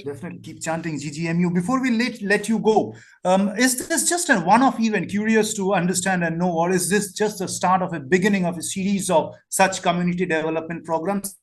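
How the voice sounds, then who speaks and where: A male interviewer with a notably high-pitched voice. He frames his questions in wordy, formal phrasing. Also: a little fast, few pauses.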